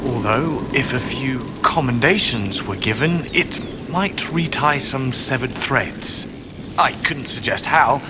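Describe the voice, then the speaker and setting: A man's voice: medium pitch, slow, loud music behind the voice, natural voice. An actor playing a fussy, officious, diplomatic male quartermaster in a fantasy video game, with a slightly hesitant manner. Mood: businesslike.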